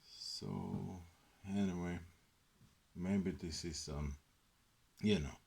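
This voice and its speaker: Very deep, gravelly, slow man. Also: darkish tone. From Sweden. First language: Swedish. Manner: rambling, hesitant, many fillers; drifts into whispers. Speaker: ASMR host. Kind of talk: soft-spoken ASMR book chat.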